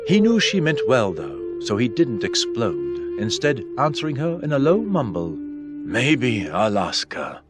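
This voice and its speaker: A man narrates a fantasy novel in a medium-pitched voice. His intonation moves expressively through the scripted prose. Soft music sits underneath. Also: wildly swooping pitch, normal speed, few pauses. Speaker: audiobook narrator.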